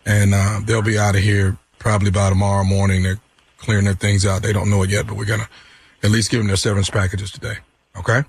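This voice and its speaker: Man acting husky, flustered office manager. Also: fairly low pitch, level tone, fast. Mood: confiding, resigned. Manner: stammering, hesitant. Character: flustered, apologetic male office manager breaking bad news. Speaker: comedian doing prank phone calls.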